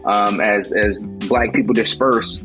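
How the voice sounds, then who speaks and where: A young American man in his twenties speaks as an interview guest, earnest and motivational, his talk full of "like" and restarts. His pitch sits in the middle range, and soft guitar music plays faintly behind him. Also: takes it slowly. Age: 20s. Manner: earnest, motivational, many "like" fillers. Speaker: guest, travel agency founder.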